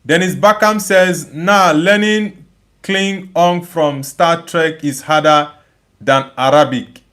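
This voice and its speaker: Male livestream host, fairly high-pitched, chatty and emphatic, reads viewer messages and replies off the cuff with repeated phrases. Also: slow, a bit bright. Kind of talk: casual live chat, reading viewer comments aloud and replying.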